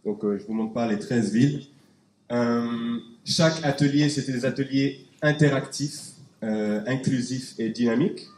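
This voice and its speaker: A man's voice: mid-range voice, unhurried, a little mellow. A man from Canada giving a conference presentation, talking through slides with many fillers and restarts. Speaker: conference speaker. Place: conference hall. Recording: echoey hall, faint background noise.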